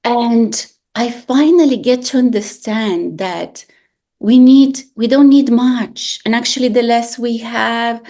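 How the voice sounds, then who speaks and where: A woman, hosting a podcast in a casual chat and telling stories in an expressive, animated way with frequent restarts. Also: on the high side, slow. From Bulgaria. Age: 40s. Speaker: podcast host.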